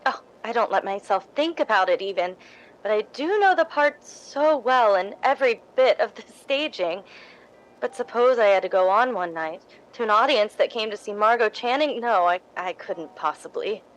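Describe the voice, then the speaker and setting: A woman's voice: mid-range voice, animated, moderate pace, lightly acted, poor audio. A woman playing a meek, grateful, ingratiating young theatre hopeful in 1950s radio drama style, hesitant and earnest. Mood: humble, eager.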